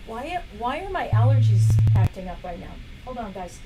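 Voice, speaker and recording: A woman hosting a casual product demo live stream. She is losing her voice and keeps coughing and clearing her throat between chatty remarks. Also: on the high side, very noisy. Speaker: live shopping stream host. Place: indoor workplace studio.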